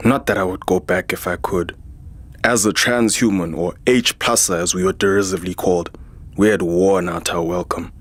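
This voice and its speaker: Jaded transhuman security chief for audio drama, male actor. Husky lower register, sardonic, proud, narrates his own story. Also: steady tone, moderate pace. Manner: sardonic, world-weary. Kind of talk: first-person scripted sci-fi narration.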